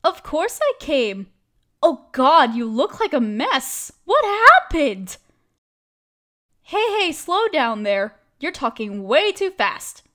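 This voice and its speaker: A woman's voice: high voice, takes it slowly, full character voice. A woman acting as a caring, protective best friend in an audio roleplay, speaking casually and emphatically. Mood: concerned. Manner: expressive, emphatic, casual with mild swearing.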